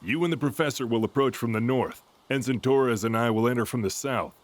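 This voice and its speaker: A man's voice: medium pitch, brisk, natural voice. A man playing a stern, by-the-book military commander in an audio drama, curt and authoritative. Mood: decisive.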